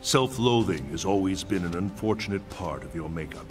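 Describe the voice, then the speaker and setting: Very deep, solemn god-like mentor. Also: male voice, lively intonation, soft background music. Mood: grave encouragement. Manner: formal, measured, grave diction. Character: solemn, stern, wise male thunder god mentor. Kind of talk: dramatic video game cutscene acting.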